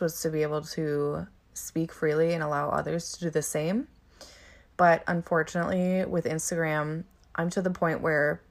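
A woman with a slightly raspy voice, chatting casually and candidly as a podcast host. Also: deep voice. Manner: candid, informal.

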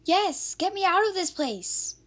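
A woman's voice: very high voice, expressive, unhurried. A woman playing an impulsive, hot-tempered female ex-convict in an amateur radio drama, emotional and exclamatory. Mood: pleading, remorseful.

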